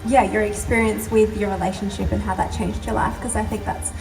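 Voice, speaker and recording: A woman hosting an event, asking a guest questions in a gentle, hesitant way. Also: mid-range voice, little pitch movement, fairly quick, creaky. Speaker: host, interviewer. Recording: roomy, far from the mic, faint music.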